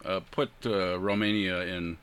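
A male radio host with a low voice speaks in a casual, halting way in a studio, filling his pauses with frequent "uh" sounds. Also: steady tone, a bit bright, clean tone. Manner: halting, many "uh" fillers.

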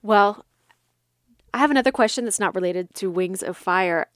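A woman hosts a morning radio interview, her voice close and dry on a studio mic. She speaks thoughtfully and pauses to search for words. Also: mid-range voice, clean tone, no room sound. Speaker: radio host.

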